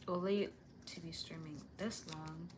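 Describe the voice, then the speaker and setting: A young woman livestreaming while she animates, chatting casually and answering viewer comments in a soft, sweet-toned voice. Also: sits low, steady tone, measured pace, noisy background.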